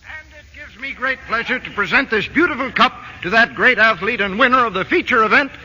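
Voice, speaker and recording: An actor playing a gushing, declamatory prize presenter in a 1940s radio comedy. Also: male voice, very high voice, fairly quick, pushed and bright, breathy edge, exaggerated voice. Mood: grand. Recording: echoing old radio broadcast, crowd.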